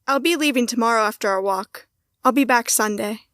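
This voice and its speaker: A woman playing an affectionate young wife and mother in an audio drama, earnest and steady. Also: a little high, a little fast, dry recording, studio quality, close to an everyday voice. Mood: calmer, affectionate. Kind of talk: hobby audio drama acting.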